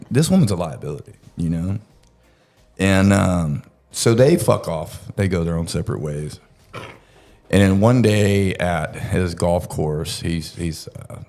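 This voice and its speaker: A man's voice: low voice, darkish tone. A man telling a true-crime story casually, with crude, profane joking and laughter woven in. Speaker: podcast storyteller.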